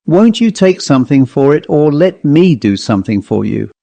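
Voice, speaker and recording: A man voicing a polite, brisk lady of sixty, an antiques dealer, in a storybook reading. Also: fairly high pitch, sing-song, creaky, mellow, no echo. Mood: delighted.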